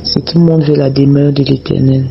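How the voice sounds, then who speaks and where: Male preacher with a head cold, gravelly and flat, slightly dull lo-fi audio. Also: mid-range voice. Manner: slow, repetitive, prayerful. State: has a cold, slightly hoarse. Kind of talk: prayer and Bible teaching.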